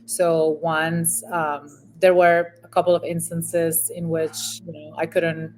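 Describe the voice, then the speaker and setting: A woman's voice: deep voice, level tone, slow pace, darkish tone, slight background noise, rough recording. A woman from Venezuela in her thirties, a former pro volleyball player telling her story in a casual interview, with frequent ums and restarts.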